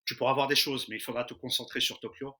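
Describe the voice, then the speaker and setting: A man's voice: mid-range voice, fairly even intonation, fast, pushed and bright. A man in his thirties from the Lyon region of France, answering interview questions in an easygoing, casual way with frequent hesitations. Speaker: interview guest, expatriate in Japan. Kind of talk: casual conversation.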